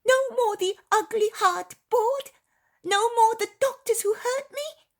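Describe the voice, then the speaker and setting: A woman's voice: very high voice, unhurried, pitched above the performer's own voice. A woman voicing an innocent, trusting little boy in a religious story, eager and childlike. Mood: pleading joy. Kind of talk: dramatised religious story reading.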